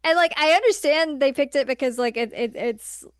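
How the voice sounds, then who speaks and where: A woman's voice: sits high, moderate pace, no echo, clean studio sound. A woman co-hosting a podcast, chatting casually and informally about movies, often hesitating as she thinks aloud. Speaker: podcast co-host.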